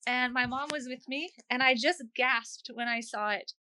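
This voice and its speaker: Bright-voiced, higher-pitched woman as interview guest. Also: normal speed, smooth. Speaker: guest author. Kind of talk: conversational interview.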